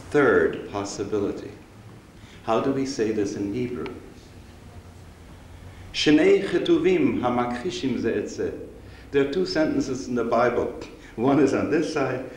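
A man in his sixties, raised in Austria, giving a measured, reflective public lecture as a rabbi from a stage. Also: medium pitch. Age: 60s. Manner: measured, reflective, unhurried storytelling. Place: synagogue hall, seated on stage before an audience. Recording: roomy hall recording with faint hiss.

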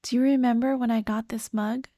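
A young woman voicing the gentle, grieving ghost of a dead girlfriend in an ASMR roleplay, speaking tenderly and pleadingly. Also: a little high, steady tone, no room sound, studio quality, natural voice. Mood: wistful, tender. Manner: slow, tender, sighing, pleading. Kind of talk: soft ASMR roleplay acting.

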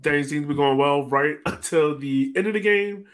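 A man with a somewhat high voice hosts a relaxed sports discussion. He talks casually, as on a group video call. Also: normal speed. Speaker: podcast host. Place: indoor room on a video call.

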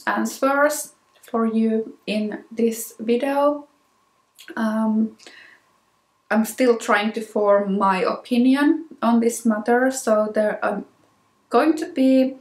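A woman in her thirties with a Finnish accent, a native Finnish speaker, hosting a knitting podcast in casual talk to camera, unhurried with many ums and restarts. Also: on the high side, roomy sound. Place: small room with plain wall, indoors.

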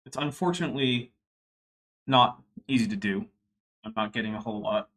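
Bright-voiced young man hosting a livestream. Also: medium pitch, fairly quick. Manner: chatty, wry, reading super chats aloud. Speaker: livestream host. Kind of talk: casual livestream commentary.

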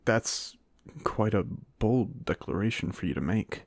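A man playing a reserved, dry-witted American knight in a fantasy ASMR roleplay, speaking in measured, formal banter. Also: on the low side, expressive, dry recording. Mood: wry.

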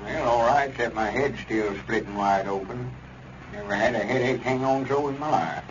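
A man's voice: medium pitch, moderate pace, crowd noise, lightly acted. Man playing a self-important Southern country grocer, muffled. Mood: complaining. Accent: rural Southern American. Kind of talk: 1930s radio comedy acting. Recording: muffled, noisy old radio recording.

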